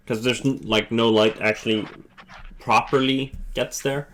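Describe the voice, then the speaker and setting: A male game master narrating a tabletop scene, halting and restarting as he goes. His voice has an ordinary middle pitch and a casual, unscripted delivery. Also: fairly even intonation, a bit bright, a little hiss or hum. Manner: halting, full of fillers and restarts.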